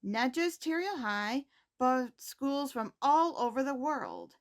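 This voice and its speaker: A woman playing a poised, caring high school principal, giving scripted announcements in a measured, formal manner. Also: sing-song, heavily acted. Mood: composed, encouraging. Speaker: host reading school announcements.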